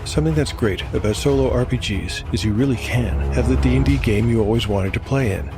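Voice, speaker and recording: A man narrating from a script as host, in a measured storytelling manner. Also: medium pitch, music in the background.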